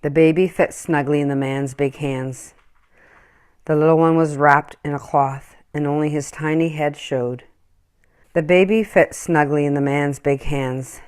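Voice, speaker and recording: A woman reading a children's story aloud in an expressive, acted-out storytelling style. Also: sits low, measured pace, smooth. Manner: animated, acts out dialogue and animal sounds. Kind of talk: expressive scripted storybook narration.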